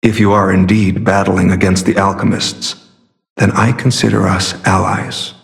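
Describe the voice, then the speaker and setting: A secretive masked hunter from a video game, with a fairly low voice and a creaky edge. He speaks formally and gravely, choosing his words with earnest care. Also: level tone. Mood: courteous, calm. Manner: measured, formal, solemn. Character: secretive, earnest masked warrior hunting corrupt alchemists. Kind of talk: scripted game character dialogue.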